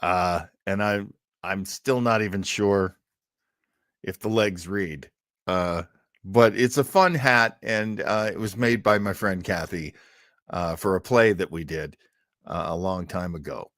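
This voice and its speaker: A man's voice: low voice, unhurried, fairly bright tone, no echo, clean studio sound. A man hosting a live show in a casual, rambling way, self-deprecating and scattered, often hesitating as he goes. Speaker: livestream host.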